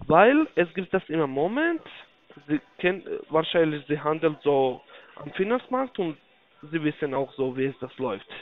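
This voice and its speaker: A non-native speaker, a man with a foreign accent, giving a phone sales pitch in halting, repetitive sentences with broken grammar. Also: very animated. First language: not German (non-native speaker). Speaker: investment telephone salesman. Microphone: phone call. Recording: dull, lo-fi phone call audio.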